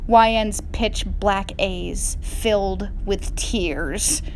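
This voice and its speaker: A woman's voice: mid-range voice, darkish tone. A woman giving a mock-dramatic, hammy reading of a comic fan fiction story on a faintly noisy recording. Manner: hammy, deliberately reads misspellings as written.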